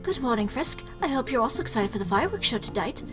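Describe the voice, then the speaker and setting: A woman voicing a gentle, motherly monster from a game in a soft, tender, emotional way. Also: on the high side, big pitch swings, quick pace, faint music behind.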